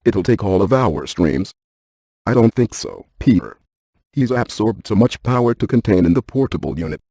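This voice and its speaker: An earnest scientist ghost hunter in a video game. His voice is low and mellow, with a dry, close sound. He explains things in precise, technical, deadpan terms. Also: normal speed, husky, no room sound. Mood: deadpan, cautious. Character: earnest, analytical male scientist ghost hunter, matter-of-fact.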